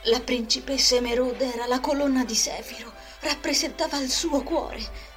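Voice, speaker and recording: A woman's voice: high-pitched, steady tone, moderate pace, fairly bright tone. A woman voicing an anguished, earnest teenage magic knight heroine in a dramatic, fervent amateur dub. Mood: wistful, solemn. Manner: dramatic, fervent monologue delivery. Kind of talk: Italian amateur anime fan dubbing. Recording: homemade lo-fi desk microphone, music behind.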